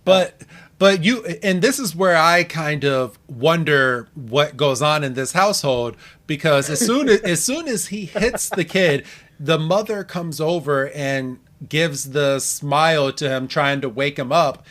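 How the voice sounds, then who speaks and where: A man with a slightly high voice talks casually as a podcast co-host. He recaps a cartoon episode in a halting way, doubling back on phrases as he explains. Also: expressive, fairly bright tone.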